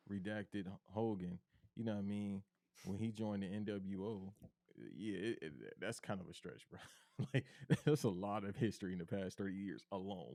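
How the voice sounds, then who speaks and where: A man with a deep bass voice, chatting casually and loosely on a podcast, full of slang. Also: dark tone, no room sound, studio quality. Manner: laid-back, slangy, says bro a lot. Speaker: podcast co-host. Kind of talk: casual chat.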